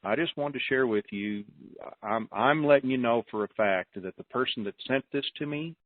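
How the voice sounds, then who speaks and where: A man's voice: mid-range voice, moderate pace, dry recording. An American man hosting an informal conference call, talking to callers and repeating words for emphasis. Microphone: telephone. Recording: narrow, tinny phone line.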